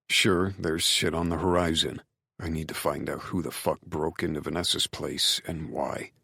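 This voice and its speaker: A man performs a commanding romance hero for an audiobook, with a very deep voice and a dry, close sound. He is possessive yet devoted, speaking in a firm, intense, hushed growl. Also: gravelly, breathy edge, no room sound, full character voice. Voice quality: low growl. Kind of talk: audiobook romance character acting.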